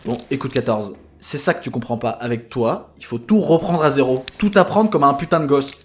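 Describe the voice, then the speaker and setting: Actor as a fast-talking, impatient clone technician man. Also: medium pitch, lightly acted. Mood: exasperated. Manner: didactic, impatient, foul-mouthed. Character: irritable, know-it-all male clone technician, resigned mentor. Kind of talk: scripted comic audio drama acting.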